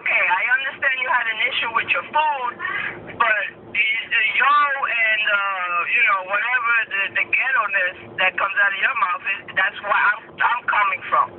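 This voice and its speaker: A woman working as a fast food manager, bluntly arguing with a customer on a phone call. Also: medium pitch. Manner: blunt, argumentative. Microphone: telephone. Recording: tinny phone line, clear noise.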